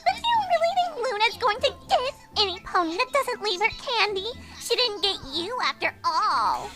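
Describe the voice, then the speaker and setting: A woman's voice: very high-pitched, sing-song, normal speed. Haughty, sneering little filly, played by a woman. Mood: disdainful. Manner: haughty, disdainful. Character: snooty, sneering young filly bully. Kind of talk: fan fiction audio drama acting. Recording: music behind the voice.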